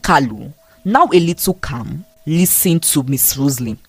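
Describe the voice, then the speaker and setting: A woman storyteller with a low voice and lively, swinging intonation. She narrates a dramatic scripted tale, recorded close and dry. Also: measured pace, breathy edge, no room sound, faint music behind.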